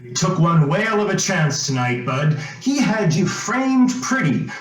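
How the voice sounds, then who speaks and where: A man playing a folksy, blunt lawman with halting delivery in an old-time radio suspense play. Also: high voice, big pitch swings. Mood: grave. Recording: echoey room with faint music.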